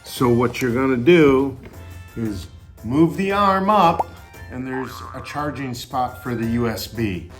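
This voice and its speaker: A man's voice: mid-range voice, animated, takes it slowly. A man giving a casual product demonstration for a child, explaining things simply, step by step. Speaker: parent demonstrating a toy for a family channel. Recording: echoey room with loud music bed. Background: music.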